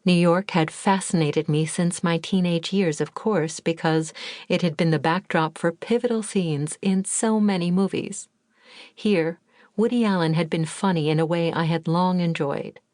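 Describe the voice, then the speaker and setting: Female memoir narrator, lowish pitch, dry booth sound, calm reflective delivery. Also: no room sound. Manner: measured, reflective first-person reading. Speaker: audiobook narrator.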